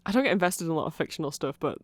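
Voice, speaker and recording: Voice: medium pitch, animated, racing, dry recording. A person of unclear sex giving a rambling ASMR explanation of fan lore, often getting flustered, trailing off and correcting themselves with amused enthusiasm.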